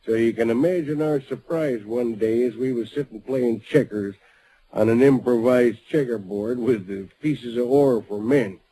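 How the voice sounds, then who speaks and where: A man's voice: mid-range voice, moderate pace, mellow, breathy edge, lightly acted, poor audio. A man narrating as a homespun old-west gold miner with frontier grammar, in a vintage radio drama. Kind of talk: 1940s radio acting.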